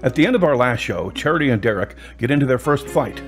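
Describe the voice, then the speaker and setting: A man with a husky voice reading scripted narration for an audio drama. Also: medium pitch, expressive, quick pace, faint music behind.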